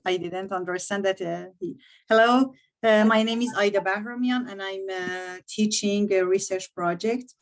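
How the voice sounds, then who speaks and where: A woman instructor who speaks English as a non-native language, presenting a course overview over a video call, halting and often searching for words. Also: medium pitch.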